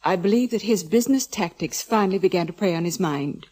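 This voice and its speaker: An actress playing a refined, anxious middle-aged wealthy wife, dramatic and pleading in 1940s radio style. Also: female voice, lowish voice, expressive, a little fast, warm tone, rough recording. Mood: worried, pleading. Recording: old 1940s radio recording.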